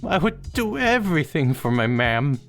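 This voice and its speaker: A man voicing a timid, panicky little male ghost who squeaks and stammers when nervous. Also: sing-song, moderate pace, a bit bright, faint music behind. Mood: wistful.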